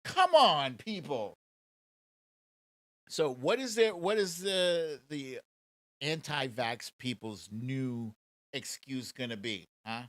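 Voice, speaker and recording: A man's voice: medium pitch, lively intonation, slow, pauses often, a bit bright, no room sound. A man hosting a casual political commentary livestream in a sarcastic, mocking and profane manner. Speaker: show host.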